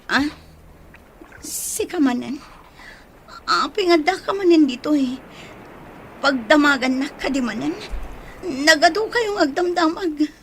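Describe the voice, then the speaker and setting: A woman's voice: sits high, expressive, measured pace, pauses often, fairly bright tone, slight background noise, exaggerated voice. A woman with an Ilocano accent playing a nervous, evasive young woman under suspicion in a radio drama, hesitant and defensive.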